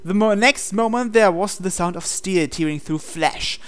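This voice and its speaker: A man's voice: high voice, normal speed, a bit bright, clear, resonant tone. A man reading a visual novel aloud as a let's player, with theatrical storytelling and casual asides. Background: game music.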